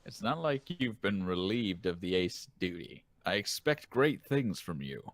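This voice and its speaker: Stern baseball coach in a manga dub, read by a man, firm, lively intonation. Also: low-pitched, a little slow, dry recording, exaggerated voice. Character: stern baseball coach addressing his pitcher.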